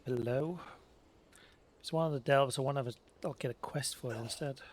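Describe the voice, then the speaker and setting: A man's voice: medium pitch, slight background noise. Man musing casually over a video game. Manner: musing, unhurried. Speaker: let's play gamer. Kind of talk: casual gameplay commentary, thinking aloud. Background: faint game music.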